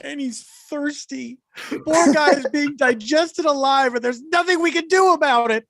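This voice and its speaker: An actor voicing an exasperated, melodramatic animal in an animated comedy, with a gravelly voice. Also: high voice, normal speed, slight background noise. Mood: horrified despair. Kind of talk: table read of animated comedy script.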